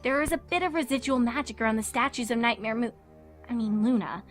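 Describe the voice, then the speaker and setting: A woman plays a studious young unicorn mare from a cartoon fan story. Her voice sits fairly high and she explains things earnestly, serious with an uneasy edge. Faint music runs under the voice. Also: quick pace, lightly acted. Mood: stern, uneasy. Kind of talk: dramatised fan fiction reading.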